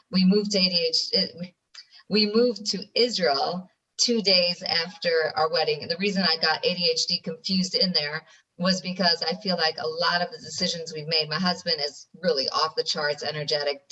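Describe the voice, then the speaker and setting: A woman's voice: lowish voice, pushed and bright, distant mic, low-quality recording. A middle-aged American woman from New York, a podcast guest, telling her story earnestly with frequent restarts. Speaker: guest, ADHD educator. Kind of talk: interview on a podcast.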